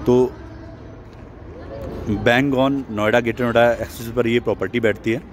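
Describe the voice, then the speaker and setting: A man's voice: medium pitch, fairly even intonation, slow pace, slight background noise. A man giving a walking property sales tour outdoors at a construction site, explaining things with many English terms mixed in. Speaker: real estate agent.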